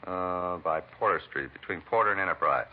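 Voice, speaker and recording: Actor as deep-voiced, folksy old-radio truck driver. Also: male voice, normal speed, natural voice. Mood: earnest recounting. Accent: American. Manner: rambling, folksy, plain-spoken working man. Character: beaten truck driver, talkative, folksy, eccentric self-styled bump-reader. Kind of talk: 1940s-50s radio acting. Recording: muffled vintage radio recording, faint hiss.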